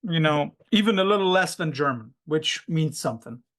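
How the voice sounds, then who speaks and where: A man's voice: a little high, expressive, moderate pace, a bit bright. Man asking direct interview questions.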